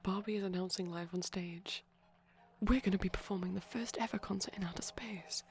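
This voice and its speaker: A man speaking softly in a chatty, rambling ASMR style, often dropping to a whisper, digressive and tentative. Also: high voice, fairly even intonation, fairly quick. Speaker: ASMR performer. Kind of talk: soft-spoken rambling ASMR commentary on a film. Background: TV film audio playing in background.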